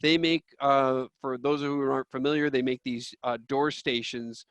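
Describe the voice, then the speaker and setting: A man's voice: medium pitch, fairly even intonation, moderate pace, fairly bright tone, no echo. Male interviewer with a dry, close sound. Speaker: interviewer, trade publication host. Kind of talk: prepared interview questions.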